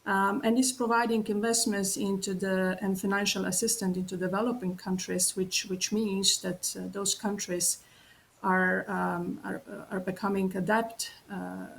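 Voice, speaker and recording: A woman military officer giving a formal panel answer in a halting, hesitant way with many pauses. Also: mid-range voice, level tone, creaky, a little hiss or hum, low-quality recording.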